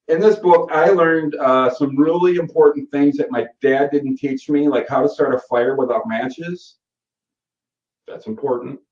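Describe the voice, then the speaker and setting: A middle-aged man with a broad Michigan accent talks in an easygoing, rambling way, circling back and correcting himself as he goes. His voice sits at an ordinary pitch. The sound is roomy and dull, as if he is a few feet from the mic. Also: a little mellow. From Michigan, USA. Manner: rambling and folksy, with frequent fillers and self-corrections. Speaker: course host. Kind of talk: casual live Q&A session. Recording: roomy, distant and muffled webinar audio.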